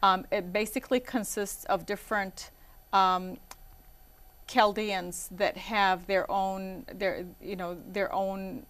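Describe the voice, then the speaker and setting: A woman's voice: mid-range voice, steady tone, takes it slowly, fairly bright tone. A woman in her forties, born in Iraq and raised in Michigan, is a guest on an interview show. She talks casually and rambles, with frequent ums and you-knows.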